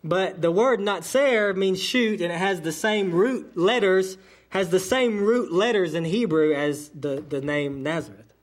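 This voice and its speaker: A man's voice: sits high, measured pace. A man, preaching a sermon as pastor and explaining scripture with frequent self-corrections. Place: church sanctuary.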